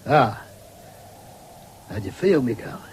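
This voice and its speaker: An actor playing a hard-drinking, evasive farmer with a rural English accent in a 1970s radio drama, muddled and stalling. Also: male voice, mid-range voice, expressive, a little slow, close to an everyday voice. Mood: sheepish, evasive. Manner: muddled, stalling, repeating himself. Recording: hissy old radio broadcast recording.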